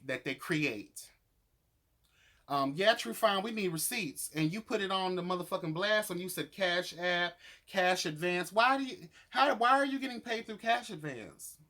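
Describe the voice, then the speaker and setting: Sassy, higher-pitched man giving opinionated commentary. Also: fairly bright tone. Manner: sassy, emphatic, slangy, freely swearing. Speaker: vlogger reviewing reality show episodes.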